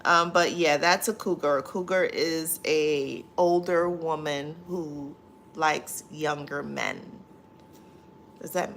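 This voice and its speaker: A woman's voice: low voice, steady tone, takes it slowly, a bit bright, slight background noise. A woman hosting a casual livestream, reading viewer comments aloud and answering them with many fillers. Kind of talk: casual live chat.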